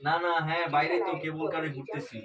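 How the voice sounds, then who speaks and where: A man's voice: fairly high pitch, level tone, quick pace, over a noisy crowd. A man with a Bangladeshi Bengali accent chatting casually inside a cable car, often repeating his phrases. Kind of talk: casual chat and video calls on a cable car outing. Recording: very noisy, distant phone video sound.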